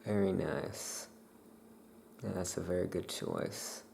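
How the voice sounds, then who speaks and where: A soft-spoken ASMR roleplayer talking gently and in a hushed, unhurried way as a witch shopkeeper. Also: sits low, fairly even intonation, vocal fry, a little hiss or hum.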